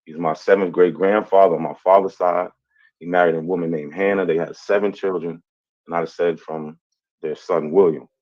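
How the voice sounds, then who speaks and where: Low voiced guy walking through family records, lots of uhs, muffled audio. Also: fairly even intonation. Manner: hesitant, many filler pauses. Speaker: amateur genealogist. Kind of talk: casual explanatory talk.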